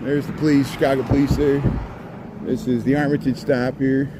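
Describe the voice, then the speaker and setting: A man's voice: mid-range voice, level tone, normal speed. A man in his forties from near Cincinnati, with a slight Cincinnati-Kentucky twang, giving a rambling, chatty walking-tour commentary on a city street at night and hamming it up for viewers. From near Cincinnati, US Midwest. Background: faint street and traffic noise.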